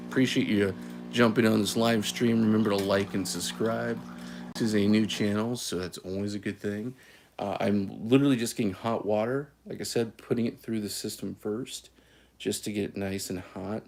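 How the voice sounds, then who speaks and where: A man's voice: on the low side, normal speed, a little mellow, a little hiss or hum. A man from California hosting a casual coffee live stream, easygoing and folksy, with many fillers and restarts. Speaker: coffee channel host.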